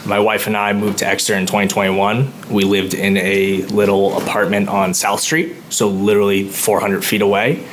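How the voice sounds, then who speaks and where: A man speaking at public comment in a town meeting room, nervous and halting, with many ums. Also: deep voice, fairly bright tone, slight background noise, low-quality recording.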